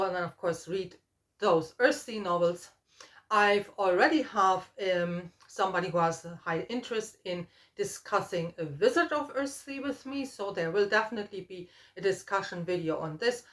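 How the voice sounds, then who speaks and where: Middle-aged woman, mid-range voice, informal vlog host, thoughtful and halting, given to trailing asides. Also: medium pitch. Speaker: book vlogger and host. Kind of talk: casual book chat to camera.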